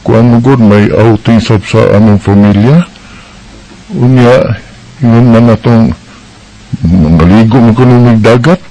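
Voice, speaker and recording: An actor with a Filipino Visayan accent playing an evasive young boyfriend in a radio drama, hesitant and noncommittal. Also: male voice, mid-range voice, measured pace, long pauses, mellow, lightly acted, poor audio. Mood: apologetic, evasive. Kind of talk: Bisaya radio drama acting.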